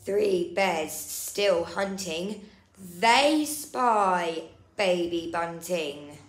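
A woman in her thirties reading a picture book aloud to young children in a deliberate, drawn-out sing-song, as a nursery storyteller. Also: fairly low pitch, expressive. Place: indoor room. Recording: roomy indoor echo.

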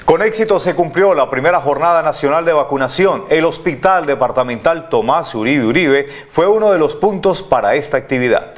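A man's voice: fairly high pitch, expressive, a little fast, few pauses. A middle-aged man anchoring a studio newscast, formal and addressing viewers directly. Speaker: news anchor. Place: TV news studio.

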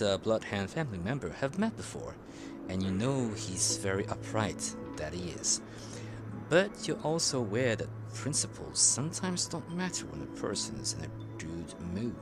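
A man's voice: fairly low pitch, sing-song, moderate pace. A man doing playful, casual gameplay commentary, chattily reacting and echoing what characters say. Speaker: game streamer. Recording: game music under the voice. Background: video game music.

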